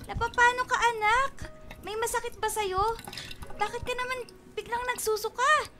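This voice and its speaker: A woman's voice: extremely high pitch, steady tone, normal speed, pushed and bright. A woman playing a bubbly, fussy, doting middle-aged Filipino mother in a radio drama, exclamatory and nagging. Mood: worried.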